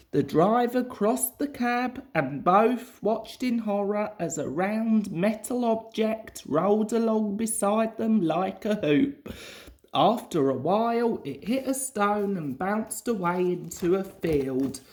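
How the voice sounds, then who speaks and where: A woman narrates a children's storybook aloud. Her pace is slow, and her voice sits at an average pitch. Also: darkish tone.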